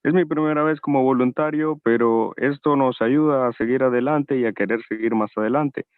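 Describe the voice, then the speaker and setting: Man from honduras, polite and formal, smooth voice but tinny phone-like sound. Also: mid-range voice, moderate pace, no echo. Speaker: audience member. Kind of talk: polite question on a video call. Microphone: video call.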